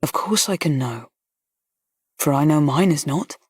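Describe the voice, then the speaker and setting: A woman reading an audiobook, voicing a kind male colonel who speaks in a pleasant, steady and frank way. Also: deep voice, measured pace, breathy edge, no room sound, clean studio sound, full character voice. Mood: firm, kind. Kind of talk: audiobook character voice.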